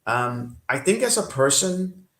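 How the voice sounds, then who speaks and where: A man's voice: medium pitch, sing-song, unhurried, a bit bright, echoey room. A man from Kenya with an accent shaped by Denmark, speaking earnestly as an interview guest with many fillers and restarts. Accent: Danish-influenced. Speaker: guest, company CEO. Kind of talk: interview over video call.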